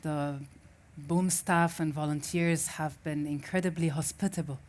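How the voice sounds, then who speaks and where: A woman's voice: low voice, fairly even intonation, measured pace, darkish tone. A woman from Syria, a native Arabic speaker, giving a reflective personal story as a festival speaker and often restarting as she searches for words.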